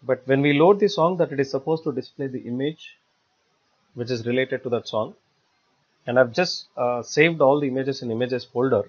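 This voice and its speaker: A man giving a step-by-step software tutorial in a halting, repetitive way. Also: mid-range voice. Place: voice-over for screen recording. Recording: dull, lo-fi screencast audio.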